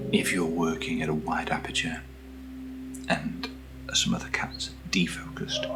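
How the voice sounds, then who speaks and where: A British man speaking in a soft, breathy near-whisper as he hosts a casual ASMR video, gentle and unhurried, close to the microphone. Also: low voice, heavy background noise. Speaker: ASMR video host reviewing camera gear. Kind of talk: soft-spoken ASMR, casual unboxing chat.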